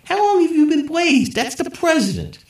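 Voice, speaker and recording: A man's voice: very high-pitched, very animated, normal speed, echoey room, voice pushed up, heavily acted. A man voicing Daniel, a mouthy, crude teenage stoner who talks in slang. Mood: mocking.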